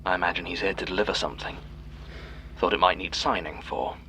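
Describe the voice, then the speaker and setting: A man's voice: low voice, steady tone, quick pace, bright, forceful tone, a little hiss or hum. A man playing a weary, dry, restrained archivist in an audio horror drama. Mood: weary, guarded. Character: weary, dry, precise male supernatural archivist.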